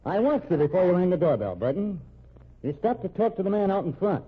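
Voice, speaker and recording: A controlled, sardonic fugitive gunman in an old-time radio thriller. His voice is fairly high and rough, and he commands with taunting calm. The sound is dull, like an old broadcast. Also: brisk, exaggerated voice. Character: cool, menacing, sardonic gunman on the run. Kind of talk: old-time radio crime drama acting. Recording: muffled old radio recording.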